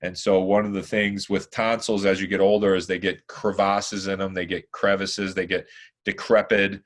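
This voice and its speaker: A man in his forties with a deep, hoarse voice, talking at length as a podcast guest, rambling with lots of fillers and restarts. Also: level tone, moderate pace, fairly bright tone. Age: 40s. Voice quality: deeper, hoarse voice. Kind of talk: casual chat.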